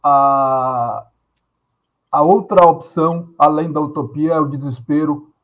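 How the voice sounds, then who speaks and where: A man's voice: a little high, slow pace, fairly bright tone. A man, a guest professor speaking earnestly in a podcast interview, with frequent hesitant fillers. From Salvador, Bahia, Brazil.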